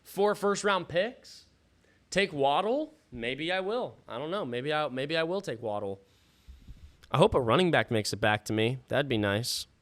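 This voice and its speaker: A man hosting a live fantasy football stream in a casual, slangy, sweary way, thinking out loud, his voice starting to give out. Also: fairly high pitch, lively intonation, measured pace. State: losing his voice. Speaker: livestream host. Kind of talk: casual live fantasy football draft commentary with chat shout-outs.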